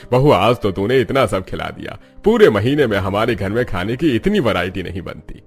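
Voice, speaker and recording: A man's voice: fairly low pitch, sing-song, moderate pace, husky, soft background music, full character voice. A man voicing a kindly, traditional older father-in-law welcoming his family in a cartoon. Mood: delighted.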